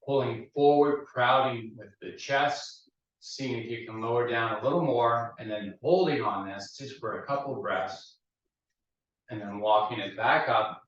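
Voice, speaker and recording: A male fitness instructor, slightly hoarse, calmly cues each movement of a mat routine. His voice sits at a middling pitch and comes from some way off the microphone. The studio around him rings with a heavy echo, and the sound is a little dull. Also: measured pace, recorded from a distance. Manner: calm step-by-step cueing. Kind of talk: guiding a mat mobility class.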